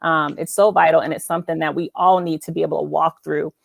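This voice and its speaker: A woman's voice: low-pitched, steady tone, fast talker, creaky, dry recording, studio quality. Emphatic woman hosting a heartfelt talk show. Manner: emphatic, affirming, repeats phrases for emphasis. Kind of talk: faith-based conversational interview.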